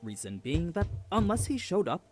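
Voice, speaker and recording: A man's voice: on the high side, sing-song, moderate pace, over loud music. A man delivering a scripted story recap as a narrator.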